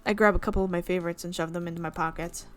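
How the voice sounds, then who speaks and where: A woman's voice: fairly low pitch, steady tone, very fast, faint music behind. A woman hosting a gaming video, reading a visual novel's narration aloud and often stopping to correct her slips. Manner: reads narration with frequent slips and self-corrections.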